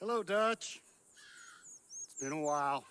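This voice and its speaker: An actor playing a sly, taunting American outlaw in a western game, with a mocking, leisurely menace. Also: male voice, a little high, expressive, unhurried, fairly bright tone, clean tone, no room sound. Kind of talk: dramatic cinematic game acting.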